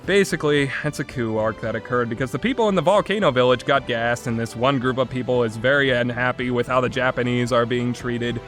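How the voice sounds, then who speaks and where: A man's voice: mid-range voice, brisk, few pauses, fairly bright tone, faint music behind. A man giving an opinionated video game review in an exasperated, sarcastic and emphatic way, with casual phrasing.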